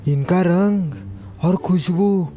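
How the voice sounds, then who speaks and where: A man's voice: high voice, slow, dark tone, soft background music. A man playing a naive, respectful cart driver in a moral story, speaking earnestly and deferentially. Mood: admiring, tempted. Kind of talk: acted moral story dialogue.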